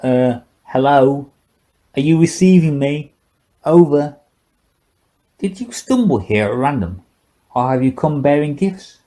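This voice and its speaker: A man's voice: on the high side, animated, a little slow, long pauses, warm tone, pitched above the performer's own voice. A man voicing a drunken, rambling old male scientist with slurred, sarcastic speech in an audiobook. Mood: mocking. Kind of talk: audiobook character dialogue.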